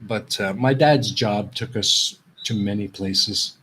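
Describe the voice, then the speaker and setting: A man's voice: lowish voice, takes it slowly, sharp tone, slight background noise, low-quality recording. A man who grew up in Canada with Dutch as his first language, hosting a casual live stream, rambling and repeating himself as he reads viewers' chat.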